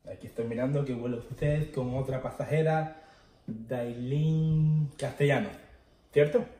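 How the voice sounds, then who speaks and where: A man voicing a polite, apologetic Galician airline agent on a prank call, formal and halting. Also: medium pitch, dark tone, natural voice. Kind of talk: improvised prank phone call. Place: living room, indoors. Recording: roomy, far from the mic.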